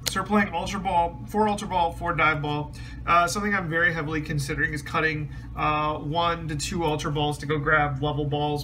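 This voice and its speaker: A man's voice: on the high side, background noise. A man casually explaining a trading card deck, rambling with many ums and restarts, his voice a bit strained. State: slightly losing his voice, clears throat. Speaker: card game deck reviewer.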